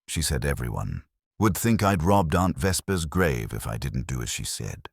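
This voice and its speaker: Man as a hushed, timid, guilt-ridden accomplice. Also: rumbling low, very animated, moderate pace, gravelly, breathy edge, no room sound, studio quality, full character voice. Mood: ashamed, fearful. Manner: hushed, hesitant confessing. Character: timid, guilt-ridden weak-willed man, an errand boy.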